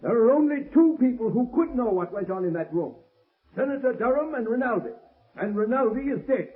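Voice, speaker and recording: An actor playing a formal, insistent defense lawyer in a 1930s radio courtroom drama. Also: male voice, extremely high pitch, expressive, brisk. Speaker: defense counsel. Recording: tinny scratchy 1930s radio recording.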